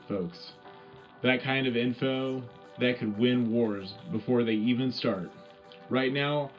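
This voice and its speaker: A man's voice: medium pitch, natural voice. A man playing a folksy, rallying wartime radio news host delivering a scripted war bulletin. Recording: muffled lo-fi radio sound with music behind.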